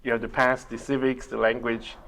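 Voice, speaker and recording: A middle-aged man whose first language is Mandarin Chinese, working as an immigration attorney and answering interview questions in a measured way, with many pauses to think. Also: mid-range voice, little pitch movement, creaky, a bit bright, rough recording. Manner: measured, explains step by step with frequent uh pauses. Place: community TV studio.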